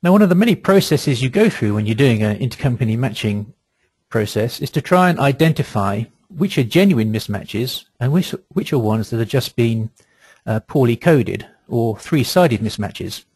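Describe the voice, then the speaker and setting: A man presenting a software walkthrough in a webinar, explaining each step in a methodical, measured way. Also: mid-range voice, expressive, breathy edge, no echo.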